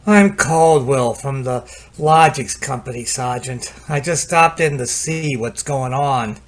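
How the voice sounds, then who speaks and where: A man's voice: medium pitch, slow, lightly acted. An actor playing an everyman company technician in an old-time radio drama. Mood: defensive.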